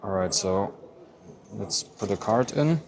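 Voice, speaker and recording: A man's voice: on the low side, animated, slow pace, background noise. A man whose first language is German, narrating a casual travel vlog and explaining each step as he goes. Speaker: travel vlogger. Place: airport and busy city street.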